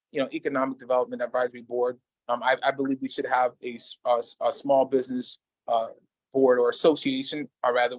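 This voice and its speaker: Guy running for mayor, kinda high voice, earnest and salesy, lots of restarts, dry close sound. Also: no room sound, low-quality recording. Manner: earnest, repetitive, salesman-like pitch with many restarts. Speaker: mayoral candidate. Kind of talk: candidate interview answers. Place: small business shop. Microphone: livestream video call.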